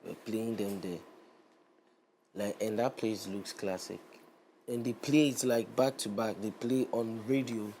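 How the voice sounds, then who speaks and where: A young Ghanaian man, an Afrobeats artist, speaking as a guest in a casual interview. He sounds relaxed, grows animated and repeats himself as he goes. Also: on the low side, unhurried, a little hiss or hum.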